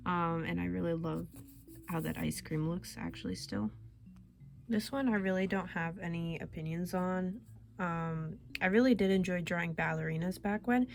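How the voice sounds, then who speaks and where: A woman's voice: on the low side, gravelly. A young woman artist chatting casually and rambling, laughing often, getting over a cold. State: recovering from a cold. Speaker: artist vlogger. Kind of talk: casual chat.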